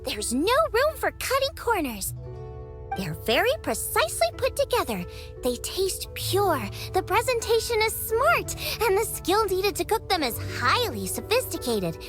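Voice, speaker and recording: Game role: chirpy, enthusiastic little cook girl, squeakily high and lively, over background music. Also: measured pace, exaggerated voice. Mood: confident, upbeat. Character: bubbly, eager young girl cook, proud of food. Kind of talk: video game cutscene voice acting.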